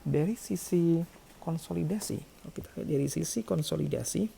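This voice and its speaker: A man with a very dark, mellow voice and some gravelly creak lectures like an accounting teacher. He explains figures step by step and often repeats a key phrase to make it stick. A faint noise sits under the recording. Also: on the high side, brisk.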